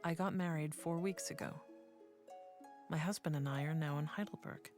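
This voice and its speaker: A woman reading letters as an earnest young woman philosopher, measured and formal. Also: deep voice, over music, lightly acted. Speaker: reader of letters in a documentary.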